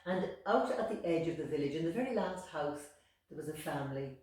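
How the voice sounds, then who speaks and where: A woman with an Irish accent telling a folk tale in deliberate, dramatic short phrases. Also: deep voice, expressive, darkish tone. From Ireland. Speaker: storyteller. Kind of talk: oral storytelling of a folk tale. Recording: very echoey room, distant microphone.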